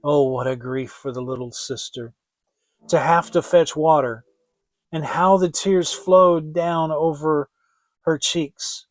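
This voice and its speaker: A man reading a fairy tale as a storyteller, in a theatrical, expressive scripted style. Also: fairly high pitch, slow pace, no room sound. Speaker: storyteller, audiobook reader.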